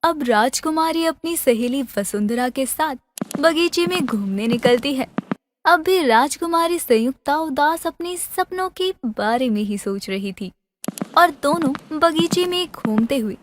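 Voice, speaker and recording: A woman tells a fairy tale in a very high voice, reading as a scripted storyteller. There is a faint noise with small clicks in the recording. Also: animated, measured pace.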